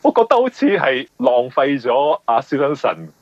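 A man with a Hong Kong Cantonese accent, a novelist explaining his work in a conversational interview, often halting and repeating words. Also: mid-range voice, sing-song, no echo, lo-fi sound. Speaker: novelist guest.

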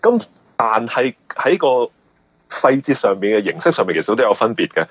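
A man with a Hong Kong Cantonese accent giving conversational interview answers, explaining things haltingly and often repeating words. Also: medium pitch, very animated, no echo. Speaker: guest, mystery novelist. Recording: muffled, band-limited radio interview audio.

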